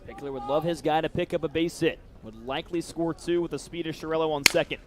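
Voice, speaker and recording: A man with a fairly high voice announces a baseball game live. He delivers play-by-play commentary, describing the action as it unfolds. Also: fast talker, noisy background.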